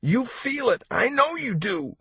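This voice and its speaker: Shrill, wretched young traveler near panic. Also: male voice, very animated, a little slow, sharp tone, breathy edge, no room sound, muffled recording, exaggerated voice. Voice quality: shrill, strained. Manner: whining, agitated complaining. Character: wretched, panicky young male traveler in armor, close to hysterics.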